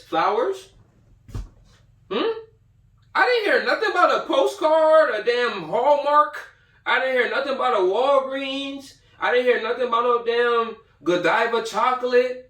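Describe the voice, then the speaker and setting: A man's voice: high-pitched, fairly bright tone. An American man giving an informal, profane livestream lecture, speaking in slang and repeating phrases for emphasis. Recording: echoey room, distant from mic.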